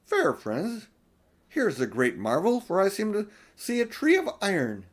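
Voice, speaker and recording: A man's voice: sits high, wildly swooping pitch, measured pace, voice pushed up, heavily acted. Guy doing storybook royalty, stately and grand, fancy old-timey formal talk. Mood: courtly wonder. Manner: stately, archaic formal speech. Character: courtly adult king and queen, formal and grand.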